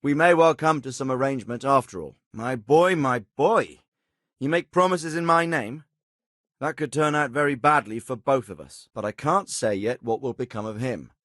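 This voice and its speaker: An actor playing a dignified, middle-aged medieval lord in a video game, fatherly but shrewd, with a measured, authoritative and well-spoken delivery. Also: medium pitch, fairly bright tone, dry recording, clean studio sound, lightly acted. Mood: stern, concerned. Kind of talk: scripted game dialogue.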